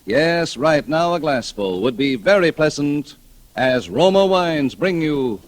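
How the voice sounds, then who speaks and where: Vintage radio ad man, highish voice, very lively intonation, polished sales delivery. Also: takes it slowly, loud music behind the voice. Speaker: commercial announcer. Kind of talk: 1940s radio advertising, scripted.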